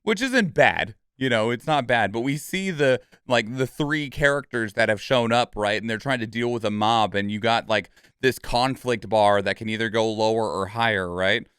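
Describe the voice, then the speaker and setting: A man's voice: medium pitch, moderate pace, few pauses, fairly bright tone, clean tone, no echo, clean studio sound. A man giving casual live reaction commentary as a streamer, thinking aloud in a rambling, conversational way. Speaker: streamer and reaction video host.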